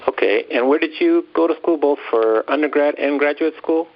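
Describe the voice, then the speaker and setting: A man's voice: a little high, normal speed, muffled recording. A man hosting a podcast and asking questions in a casual interview style.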